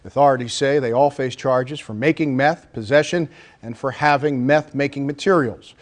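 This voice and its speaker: An American male news anchor with a mid-pitched voice delivers a scripted local newscast. The reading is the kind heard from a studio desk on evening television. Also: moderate pace.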